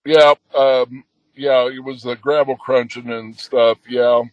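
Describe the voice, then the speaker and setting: A man guest telling his story in a halting way with many fillers, a man with a booming voice. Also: fairly even intonation, no room sound, muffled recording. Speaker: guest, expedition leader. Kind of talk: casual storytelling.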